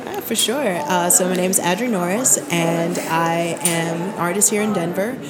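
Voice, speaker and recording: Woman in her 30s from barbados, laid back and thoughtful, lowish voice, loud music in the back. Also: normal speed. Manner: relaxed, thoughtful, uses fillers. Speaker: artist guest. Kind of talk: interview answers. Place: expo hall at a live recording.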